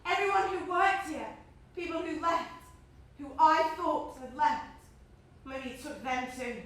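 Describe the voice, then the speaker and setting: A woman's voice: high voice, unhurried, fairly bright tone. A young woman acting a defiant, agitated character in a stage drama, accusing and confrontational in clipped phrases. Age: young adult. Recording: very echoey stage, distant mic.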